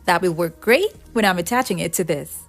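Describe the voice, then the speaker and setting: A woman's voice: fairly low pitch, expressive, fairly quick, vocal fry. Woman narrating a DIY tutorial over music. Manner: explanatory, step-by-step. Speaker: craft tutorial host. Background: background music.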